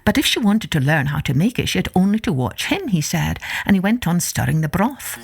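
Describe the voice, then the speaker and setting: A woman narrating a children's folktale as an expressive, scripted storyteller. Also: fairly low pitch, fairly quick, creaky, breathy edge. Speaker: storyteller, podcast host. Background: music.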